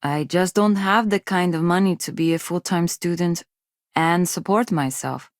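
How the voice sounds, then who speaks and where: An actress playing a shy, self-doubting young undergraduate from a poor space colony, with a hesitant, awkward manner, in video game dialogue. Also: female voice, lowish voice, moderate pace, dry recording, clean studio sound, lightly acted. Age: young adult.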